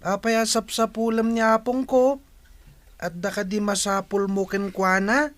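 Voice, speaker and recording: A chatty village youngster played by a woman with an Ilocano accent and a middling pitch for a female voice. The delivery is lilting and inquisitive, helpful and a little naive, in the style of a radio drama. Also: level tone, a little slow, natural voice. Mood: polite, eager.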